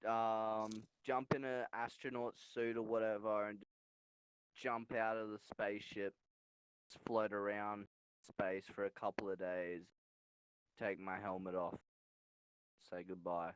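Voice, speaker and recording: A man's voice: lowish voice, flat delivery, a little slow, pauses often, clean tone, poor audio. A young man on a podcast chatting casually in an understated, hesitant way. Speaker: podcast co-host. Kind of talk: casual chat.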